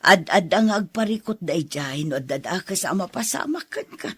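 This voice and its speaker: A woman playing a concerned older sister in a radio drama, frank and chiding, with a husky voice. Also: lowish voice, animated, normal speed, a little hiss or hum, close to an everyday voice. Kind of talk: Ilocano radio drama acting.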